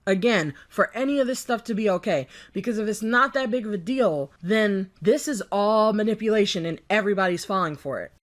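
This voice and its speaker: A woman's voice: medium pitch, animated, a little fast, hardly any pauses. A woman commentating in a reaction rant, arguing point by point and pulling apart someone else's claims. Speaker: commentary YouTuber.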